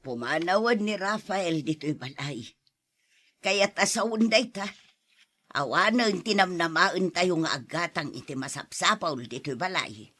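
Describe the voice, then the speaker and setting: A woman's voice: lowish voice, expressive, measured pace, sharp tone, full character voice. A woman playing an elderly, grumbling grandmother in an Ilocano radio drama, plain-spoken and scolding, with an Ilocano accent. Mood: resentful. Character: elderly grandmother and mother, grumbling, partial to favorites.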